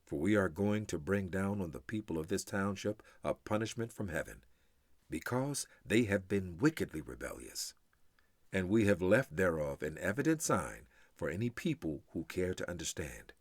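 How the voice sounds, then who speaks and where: A man with a low voice gives a solemn, spirited reading of scripture. His pitch rises and falls widely as he declaims each measured phrase, pausing deliberately between them. The recording is dry and close. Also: sing-song, no echo. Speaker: reader of scripture.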